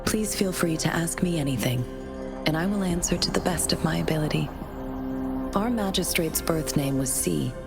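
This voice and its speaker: A woman's voice: lowish voice, close to an everyday voice. A woman playing a courteous, composed official's aide in a video game, speaking formally and politely. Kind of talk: English video game voice acting.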